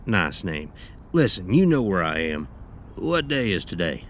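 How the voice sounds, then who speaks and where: Man acting gruff, low-voiced taxidermist, noisy background. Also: very animated, unhurried. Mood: curt, businesslike. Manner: gruff, curt, folksy, swearing. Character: gruff, blunt, grieving male taxidermist from Texas. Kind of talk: audio horror drama acting.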